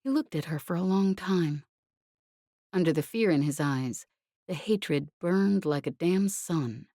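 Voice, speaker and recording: A woman's voice: on the low side, measured pace, mellow, dry recording, studio quality. A woman reading a novel aloud as scripted audiobook narration.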